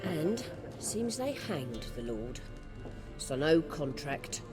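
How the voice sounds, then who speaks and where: A woman's voice: sits low, big pitch swings, slow pace, music in the background, full character voice. An actress playing a wary village woman in a fantasy video game, talking in a folksy, matter-of-fact way. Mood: resigned.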